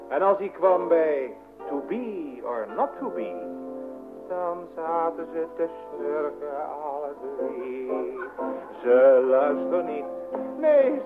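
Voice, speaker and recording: Loud music plays behind a narrow, old radio sound. a young Dutch would-be writer in a musical radio comedy, his fairly high male voice plaintive, self-pitying and melodramatic as he rhymes. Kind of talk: old-fashioned theatrical radio comedy song and monologue.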